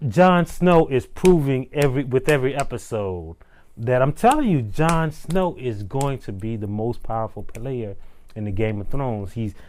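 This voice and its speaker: A man's voice: medium pitch, lively intonation, moderate pace, darkish tone. A young man co-hosting a TV review, chatting casually and emphatically, often repeating his points. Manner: emphatic, repeats "I'm telling you". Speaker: co-host of a TV review show. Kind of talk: casual chat.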